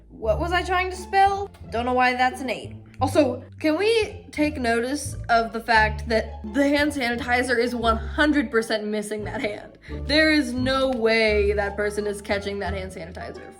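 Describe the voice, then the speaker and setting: A young woman melodramatically reading a childhood diary, with theatrical, drawn-out emphasis and casual, self-mocking asides. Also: fairly high pitch, fairly bright tone. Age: young adult.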